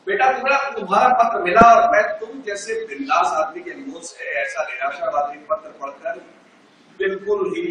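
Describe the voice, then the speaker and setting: Bright-voiced older man in echoey hall. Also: high-pitched, slight background noise. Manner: jovial, teasing. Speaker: stage actor reading letters. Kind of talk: amateur stage play, letter reading. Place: large auditorium, no sound system. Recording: echoey auditorium from far off.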